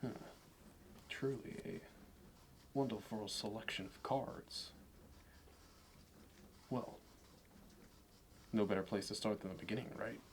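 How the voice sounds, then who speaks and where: Male actor for courteous, fastidious Manhattan executive in ASMR roleplay; lowish pitch, unhurried, formal; some noise on the recording. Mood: meticulously appraising. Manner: measured, halting, meticulously appraising, politely formal. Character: polished, courteous, obsessively appraising male Manhattan finance executive.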